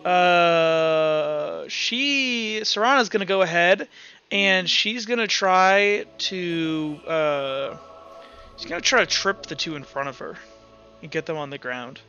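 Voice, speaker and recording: A man with a high, sharp voice runs a tabletop game. He narrates casually and haltingly, working out rules aloud. The sound is a bit muffled, with music playing underneath. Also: smooth. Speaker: game master.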